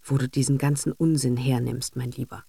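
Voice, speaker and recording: A woman's voice: rumbling low, steady tone, a little fast, creaky, dark tone, husky, no echo, exaggerated voice. A woman voicing an anxious, socially ambitious middle-aged wife who murmurs, sighs and frets, in a literary audiobook reading. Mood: shocked, hushed. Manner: murmuring, sighing, fretful. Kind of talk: literary audiobook character acting.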